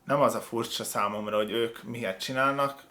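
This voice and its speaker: A man hosts a casual reaction show with a medium-pitched voice. He comments with clear opinions in a halting flow, often stopping to rephrase himself. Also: fairly even intonation, bright, forceful tone, slight background noise. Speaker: host of a video reaction show.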